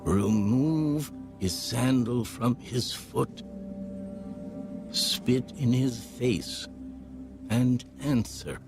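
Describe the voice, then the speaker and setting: A man narrating scripture from a script, solemn and deliberate, with measured phrasing. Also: medium pitch, lively intonation. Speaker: audio Bible narrator. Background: background music.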